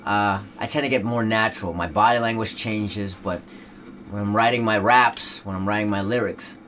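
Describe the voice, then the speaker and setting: A Dutch rapper speaking English as a second language, chatting casually in an interview with a laid-back, loose delivery full of fillers. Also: male voice, lowish voice, fairly even intonation, normal speed, sharp tone, slight background noise.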